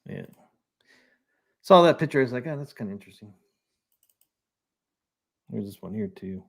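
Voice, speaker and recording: A man's voice: lowish voice, expressive, normal speed, gravelly. A man hosting a casual live stream, chatting and reading out viewer comments. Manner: easygoing, reads out viewer comments.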